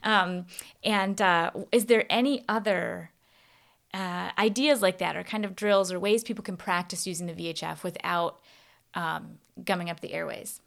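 A woman's voice: on the low side, steady tone, normal speed, creaky, fairly bright tone. A woman hosting a casual interview, talking informally in meandering, wordy sentences. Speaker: podcast host.

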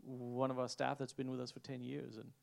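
A man speaking as a head chef on a panel on stage, earnest and halting, searching for his words. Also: mid-range voice, monotone, creaky, darkish tone. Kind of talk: reflective panel talk. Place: event stage before an audience.

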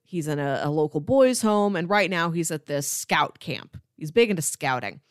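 A woman's voice: deep voice, expressive, normal speed, dry recording, clean studio sound. A woman co-hosting a podcast, casually retelling a film's story in a conversational way. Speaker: podcast co-host.

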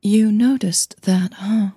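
A woman's voice: slow, warm tone, slightly rough, no room sound, clean studio sound. A woman voicing a nervous, defensive young opera singer in an audio drama, hesitant and uneasy. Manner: hesitant, higher-pitched, uneasy.

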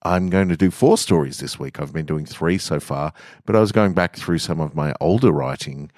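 Deep-voiced man reading short stories. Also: normal speed, darkish tone, dry recording, clean studio sound. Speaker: podcast host, author and reader. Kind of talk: relaxed podcast intro and literary short-story reading. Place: garage, indoors.

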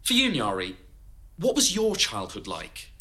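A man's voice: medium pitch, huge pitch swings, a little slow, sharp tone, full character voice. Oral history interviewer in an audio drama, takes his time, voice swings up and down, curious, bit awkward and hesitant.

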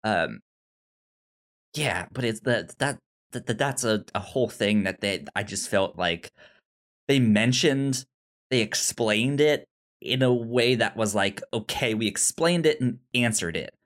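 A podcast co-host talking casually about film in a halting way, often repeating words and syllables. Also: mid-range voice, animated, a bit bright.

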